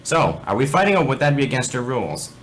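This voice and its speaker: A feisty young woman adventurer acted out by a man in an amateur fan fiction reading. The voice is pushed high and loud, teasing and exclamatory, with big swings in pitch over a noisy recording. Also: big pitch swings, fast, natural voice. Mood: teasing, challenging. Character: taunting, feisty young female adventurer in armor.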